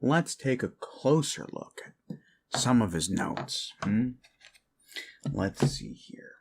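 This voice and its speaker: A man playing an obsessive, possessive boyfriend in an ASMR roleplay, creepily sweet and theatrical, coaxing with pauses and drifts into whispers. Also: lowish voice, animated, gravelly, breathy edge, exaggerated voice. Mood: suspicious, sweet.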